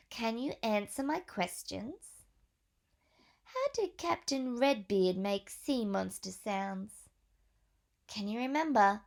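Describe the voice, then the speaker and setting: Slow expressive teacher reading to children. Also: female voice, fairly high pitch. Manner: slow, expressive, with gentle whispered asides. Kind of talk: storybook read-aloud with questions to children.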